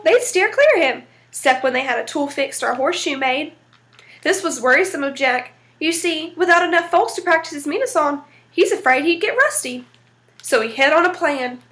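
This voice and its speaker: A woman reads a folktale aloud with folksy, dramatic color. Her voice sits high. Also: a bit bright. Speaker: storyteller. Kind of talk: read-aloud folktale narration.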